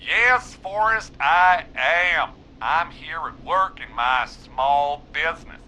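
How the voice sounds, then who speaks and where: A super bright high voiced pizza shop owner, slow and theatrical, always selling, video game. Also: male voice, lively intonation, slight background noise, full character voice. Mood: oily, promotional. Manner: theatrical, falsely modest salesman. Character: shamelessly self-promoting small-town pizza shop owner.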